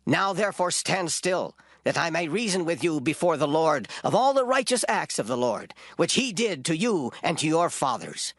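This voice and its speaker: An actor playing an old, gray-headed prophet, solemn, stern and declamatory, in a dramatized scripture reading. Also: male voice, on the high side, big pitch swings, moderate pace, few pauses, fairly bright tone, dry recording, studio quality, exaggerated voice. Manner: declamatory, grave.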